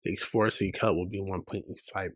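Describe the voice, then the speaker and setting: A man's voice: low voice, fairly even intonation, a little fast, slightly rough, no room sound, studio quality. A man performing dialogue from a dramatic story.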